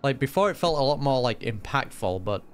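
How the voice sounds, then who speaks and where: A man's voice: medium pitch, animated, a little fast. A man giving casual, chatty, musing reaction commentary as a video host.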